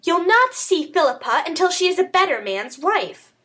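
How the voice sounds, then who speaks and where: A woman's voice: very animated, normal speed, pushed and bright, exaggerated voice. A woman reading an audiobook, voicing a desperate, unscrupulous stepmother who talks shrilly and gives orders. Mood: stubborn. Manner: shrill, stubborn, commanding.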